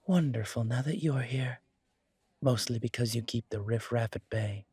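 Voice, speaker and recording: A man's voice: medium pitch, normal speed, dark tone, husky, no room sound. A man voicing a cheerful, fussy pointy-eared male shopkeeper in an audio roleplay, prim and polite with a fluttery stammer. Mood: playful, genteel.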